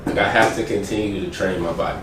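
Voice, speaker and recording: A man's voice: on the low side, fairly even intonation, fast, a bit bright. An American man hosting a casual group chat in a playful, slangy way. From United States. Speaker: host. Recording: very echoey room, distant mic, faint noise.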